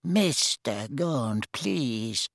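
A man voicing a polite but firm, pompous ministry official in an audiobook, formal and measured. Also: high-pitched, big pitch swings, no room sound, studio quality, voice pushed up. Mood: shocked, pleading. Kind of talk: dramatised audiobook character voice.

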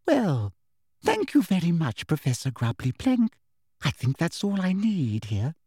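A man voicing a prim middle-aged witch inspector who sounds falsely sweet and softly threatening. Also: on the high side, wildly swooping pitch, unhurried, breathy edge, no room sound, studio quality, voice pushed up, exaggerated voice. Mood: sugary, coolly polite. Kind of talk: audiobook character voice.

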